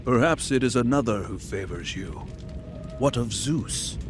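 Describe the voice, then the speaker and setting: An actor voicing a thoughtful, measured soldier companion in a game. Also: male voice, medium pitch, animated, music in the background, lightly acted. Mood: calm.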